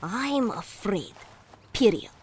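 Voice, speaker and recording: A woman's voice: mid-range voice, sing-song, slow, very noisy. An actress playing a sulky, easily frightened young girl in an audio drama. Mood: sulky unease.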